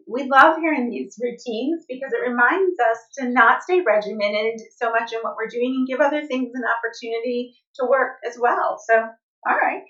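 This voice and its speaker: Slightly high voiced woman host, encouraging, long questions, dull lo-fi sound. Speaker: podcast co-host. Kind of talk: interview hosting.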